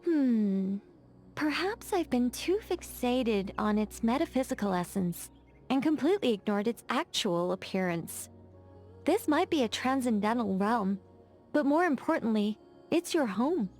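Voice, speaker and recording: A woman's voice: a little high, sing-song, a little slow, darkish tone, soft background music. An actress voicing a poised, playful female mage in a game, talking in a wry, teasing, chatty way. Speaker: game character. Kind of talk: game voice lines.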